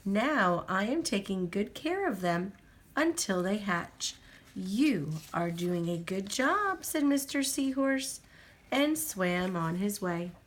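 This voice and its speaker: Adult woman reading a picture book to a small child; slow, very expressive pitch, gentle sing-song delivery. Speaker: storyteller reading aloud to a child.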